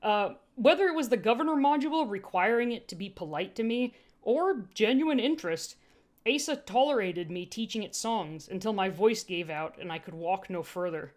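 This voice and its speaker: A woman's voice: mid-range voice, expressive, normal speed. A woman reading first-person fiction expressively as an amateur narrator, with an informal manner and casual asides. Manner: informal, breaks off to laugh and comment.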